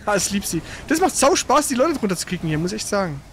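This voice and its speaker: A gamer giving casual, colloquial commentary on their own play, exclaiming readily and swearing mildly, with an excitable manner. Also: mid-range voice, quick pace, slight background noise.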